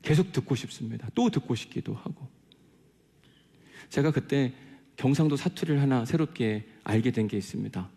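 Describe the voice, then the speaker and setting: A man preaches in a conversational, earnest way, weaving in personal stories. His voice is mellow and mid-pitched with a gravelly creak. Long hall reverb surrounds his words. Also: animated, moderate pace. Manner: conversational, earnest storytelling with rhetorical questions. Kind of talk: sermon with personal stories and diary reading.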